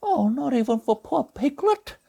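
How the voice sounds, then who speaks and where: A man voicing a gentle, childlike, honey-loving cartoon bear who reads a storybook in a husky, polite, fretting manner. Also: extremely high pitch, sing-song, normal speed, pitched above the performer's own voice, heavily acted. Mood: fretful, gentle. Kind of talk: storybook reading as cartoon impression.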